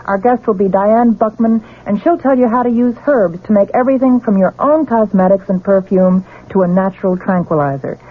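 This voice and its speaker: A woman hosting a radio program, reading a scripted promo. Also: on the high side. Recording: tinny, band-limited old radio broadcast.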